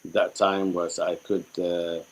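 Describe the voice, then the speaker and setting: A middle-aged man from Syria with a Syrian accent, a native Arabic speaker, telling his own story reflectively as a guest, halting and repeating himself as he searches for words. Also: deep voice, flat delivery, slight background noise. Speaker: guest, spiritual healer.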